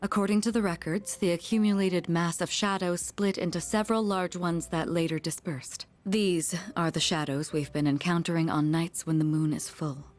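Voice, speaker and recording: Woman doing a formal serious upperclass girl in a video game, lowish voice, measured and burdened, some music under it. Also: fairly even intonation, lightly acted. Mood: grave. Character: composed, guilt-burdened elite high school senior girl, commanding and formal.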